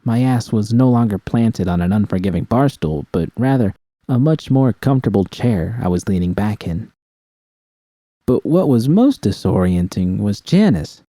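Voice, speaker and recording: A man's voice: fairly low pitch, animated, normal speed, warm tone, no room sound. A man narrating scripted first-person fiction as an audiobook reader.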